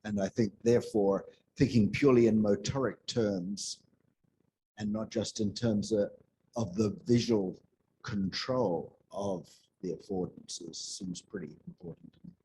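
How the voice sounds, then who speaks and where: A man with a dark, mellow voice on the lower side speaks over faint noise. He explains his point deliberately as a discussant at an academic conference. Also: low-quality recording. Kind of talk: unscripted academic comment.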